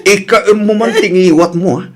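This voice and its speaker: A man's voice: sits high, normal speed, natural voice. A man with an Ilocano Filipino accent playing a violent, jealous, drunken husband in an audio drama. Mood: menacing rage.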